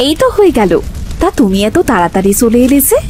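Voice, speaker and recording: Woman playing a caring village wife in a cartoon, homely n teasing, highish lively voice, music behind. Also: fast. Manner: homely, familiar talk.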